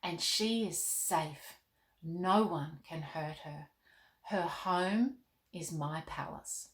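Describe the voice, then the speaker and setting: Gentle, fatherly storybook king read by a woman. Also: fairly low pitch, extremely slow, roomy sound, pitched below the performer's own voice. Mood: tender.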